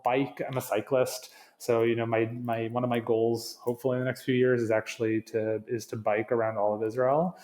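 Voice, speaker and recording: A man's voice: mid-range voice, a little mellow. A man with a slight Canadian accent touched with New England, a marketing executive chatting earnestly as a podcast guest, often restarting phrases. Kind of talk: casual interview chat.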